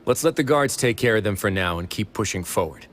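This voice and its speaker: A man voicing a calm, authoritative male prison warden in a video game, measured and dry. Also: on the low side, background noise, close to an everyday voice.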